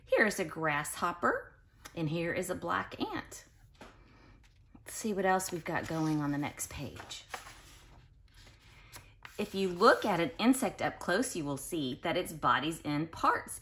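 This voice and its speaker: A woman with a slightly low voice reads a picture book to young children in a teacherly way. Her intonation swings widely and expressively. She enunciates with care and breaks off for explanations and questions to her listeners. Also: fairly bright tone. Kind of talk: read-aloud with explanatory asides to children.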